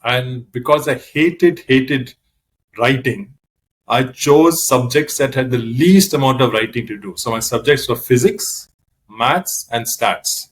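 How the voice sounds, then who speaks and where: A man from Kerala with a Keralite accent, a podcast guest telling his story in a relaxed, wry manner. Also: mid-range voice, takes it slowly, low-quality recording. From Kerala, India. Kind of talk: casual conversational storytelling.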